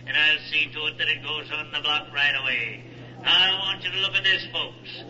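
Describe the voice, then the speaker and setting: An actor playing a brisk auctioneer, grandiose and chanting, in 1940s radio style. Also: male voice, fairly high pitch, steady tone, sharp tone. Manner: grandiose, rapid repeated bidding chant. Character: brisk showman auctioneer hawking antiques. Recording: muffled vintage 1940s radio recording.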